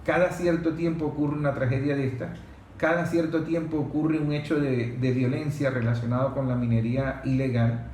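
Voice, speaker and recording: A man's voice: medium pitch, steady tone, normal speed, darkish tone. A man with a Venezuelan accent hosting a discussion with conversational commentary and questions. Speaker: host of an environmental discussion show. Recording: echoey room, background noise.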